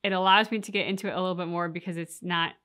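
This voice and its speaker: A woman's voice: fairly low pitch, steady tone, racing, pushed and bright, smooth. A woman co-hosting a reaction video in casual, conversational talk. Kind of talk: casual chat.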